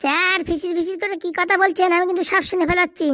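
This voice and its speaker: Woman doing impatient comic cartoon man, bright sharp tone, dry sound, cheeky, wheedling, blustering. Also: extremely high pitch, fairly even intonation, fast talker, no room sound. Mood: eager, wheedling. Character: cheeky, impatient male hospital patient, comic street hustler. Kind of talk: comedy cartoon dialogue.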